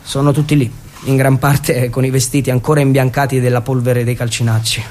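A man performing a theatrical narrative monologue for radio, with a dramatic, irreverent delivery and emphatic pauses. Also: medium pitch, level tone, darkish tone. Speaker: stage storyteller and actor.

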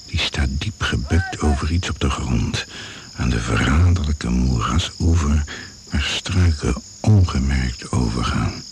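An actor as the grave narrator of a radio mystery, reading in a measured, poetic, suspenseful manner. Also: male voice, extremely low pitch. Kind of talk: scripted radio play narration. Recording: noisy old radio play recording.

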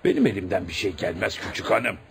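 An actor playing a gruff, scornful guard in a radio play, brusque and dismissive in delivery. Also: male voice, sits low, sing-song, quick pace, heavily acted. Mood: gruff, impatient. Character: gruff, scornful male prison guard doing his duty. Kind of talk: radio theatre acting. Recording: noisy radio drama recording.

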